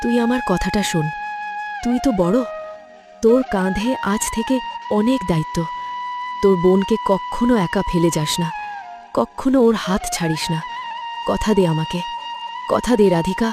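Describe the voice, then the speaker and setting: A woman voicing a frail, gentle, humble poor mother in a cartoon story. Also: mid-range voice, moderate pace, pauses often, lightly acted. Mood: dying, pleading. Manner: humble, tender, pleading. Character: frail, gentle, self-sacrificing poor widowed village mother. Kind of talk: Bengali cartoon moral-story voice acting. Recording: music under the voice.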